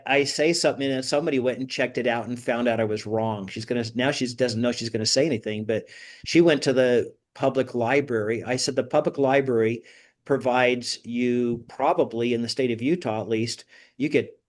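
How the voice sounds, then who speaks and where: A man leads an informal group session in a conversational way, often turning to participants with questions. His voice sits at an average male pitch, and he talks like a host guiding a class.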